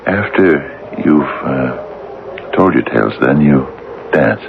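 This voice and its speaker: An actor playing a bewildered, timid older gentleman, halting and stammering, in vintage radio drama style. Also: rumbling low, lively intonation, gravelly, noisy background, full character voice. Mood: flustered. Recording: dull old radio recording, loud music.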